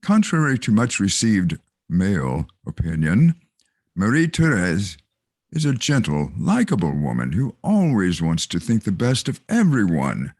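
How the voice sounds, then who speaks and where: A man narrating a stage script and its directions in a measured, theatrical manner. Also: on the low side, wildly swooping pitch, a little mellow, no echo, clean studio sound.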